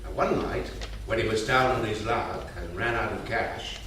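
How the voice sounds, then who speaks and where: An actor playing an elderly, fond and wistful grandfather, telling old gambling stories with hesitant pauses in a staged reading. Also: lowish voice, lightly acted. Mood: nostalgic. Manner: unhurried storytelling with hesitant pauses and endearments. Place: theatre stage. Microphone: distant room mic. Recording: roomy echoing stage recording, distant mic, hiss.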